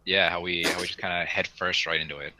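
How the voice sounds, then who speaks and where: A man's voice: low voice, little pitch movement, fast talker, background noise. A man hosting a casual hobby podcast, conversational and steering the discussion. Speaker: podcast host.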